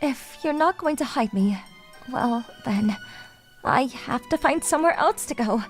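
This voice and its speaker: A woman's voice: high voice, animated, unhurried. A woman voicing a sweet, polite young female idol with an earnest, coaxing way of talking, in a manga dub. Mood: pleading. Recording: music under the voice.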